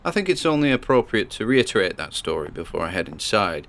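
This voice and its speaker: A man playing an earnest paranormal investigator, chatting reflectively and haltingly into his recorder in an audio drama. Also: on the low side, sing-song, fairly bright tone, a little hiss or hum. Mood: tense, purposeful. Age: 20s. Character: Miles Gordon, earnest 27-year-old male paranormal investigator vlogger. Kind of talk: found-tape audio diary.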